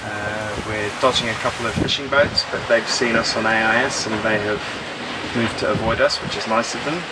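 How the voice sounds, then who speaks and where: A man in his fifties giving a candid, relaxed update to a camera held at arm's length on a sailboat. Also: lowish voice, moderate pace. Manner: relaxed, candid, laid-back. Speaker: sailing vlogger. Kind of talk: casual chat on camera. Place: outdoors on a sailboat cockpit. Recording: loud wind noise. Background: loud wind.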